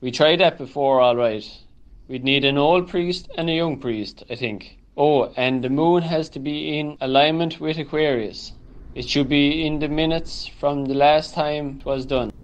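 A man acting an easygoing Irish caretaker in a small-town radio play. His voice sits a little high for a man, and he talks in a practical, everyday way. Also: unhurried, highs cut off, lightly acted. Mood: matter-of-fact. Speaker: actor in an amateur radio drama. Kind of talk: radio drama dialogue.

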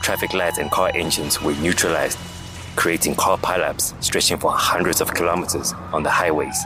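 A man's voice: rumbling low, fairly even intonation, normal speed. A man giving scripted, dramatic narration for a science fiction audio drama. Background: music.

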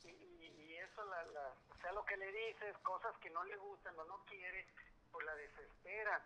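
A man's voice: high-pitched, animated, normal speed, sharp tone, a little hiss or hum. A middle-aged man, talking casually as a father over a tinny telephone line. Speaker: streamer's father. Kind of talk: casual phone conversation.

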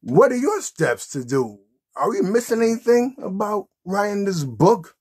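A man hosts a puppet show in a casual, improvised way. His voice is husky and unpolished, that of someone in his thirties. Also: high-pitched, sing-song, measured pace, darkish tone. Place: small indoor room with green backdrop.

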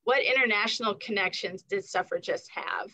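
This woman has a bright, tense voice at a middling pitch. She moderates an online panel, reading out audience questions from the chat. She speaks over a video call. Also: normal speed. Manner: reads audience questions aloud.

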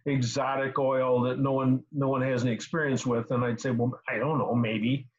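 Older man, halting, on a dull video call. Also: medium pitch, flat delivery. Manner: halting, repeats words as he explains. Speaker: host answering car repair questions. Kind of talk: casual technical advice on a video call. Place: small home room indoors. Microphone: computer mic on a video call.

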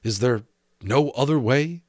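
A man's voice: mid-range voice, a little slow, a little mellow, dry recording, studio quality, voice pushed up, natural voice. A man voicing an anxious, uncertain magician in a fantasy story, earnest and questioning. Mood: confused, unsure.

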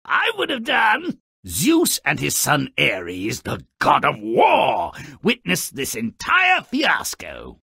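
An actor voicing a spiteful, mischievous gnome-like creature who narrates a video game tale with sardonic, theatrical relish in a gravelly, raspy voice. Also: male voice, medium pitch, sharp tone, dry recording, clean studio sound, heavily acted. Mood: gleeful spite. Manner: sardonic, exaggerated, relishing malice.

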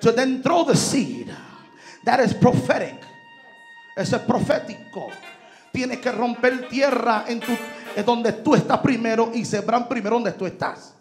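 A man in his thirties preaching a fiery sermon on a church stage into a handheld mic, shouting and mixing Spanish with English. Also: very high voice, lively intonation, a little slow, faint music behind. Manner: shouting, emphatic, switching between Spanish and English. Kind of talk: Pentecostal sermon. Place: large church stage indoors. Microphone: handheld microphone.

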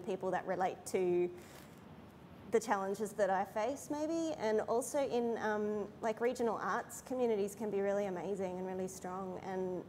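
A woman's voice: mid-range voice, darkish tone, a little hiss or hum. Hesitant woman, filler-laden. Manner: hesitant, filler-heavy, reflective. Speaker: panelist, editor. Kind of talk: panel discussion. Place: large hall, seated on stage.

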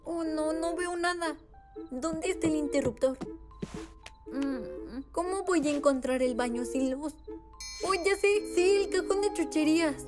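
A woman voicing a small, excitable boy rabbit in a children's cartoon, with a Latin American Spanish accent and an expressive, childlike manner. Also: sits high, measured pace. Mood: anxious, urgent. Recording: music behind the voice.

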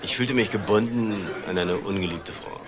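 Male actor for articulate, self-justifying middle-aged man, crime drama; deep register, measured phrasing with pauses. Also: a crowd in the background, close to an everyday voice. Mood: earnest, confiding. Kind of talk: German TV crime drama dialogue.

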